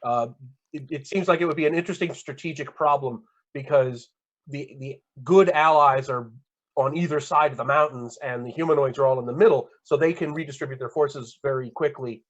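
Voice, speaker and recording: Guest man in his fifties, steady mid-pitch voice, measured and hesitant, muffled lo-fi sound. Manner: measured, explanatory, hesitant with fillers. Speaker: guest author. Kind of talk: casual chat, explaining lore.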